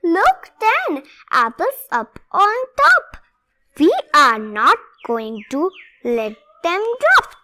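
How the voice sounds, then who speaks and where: A young girl reading a rhyming picture book aloud, expressively and deliberately in short phrases. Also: very high-pitched, huge pitch swings, bright, forceful tone. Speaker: read-along presenter.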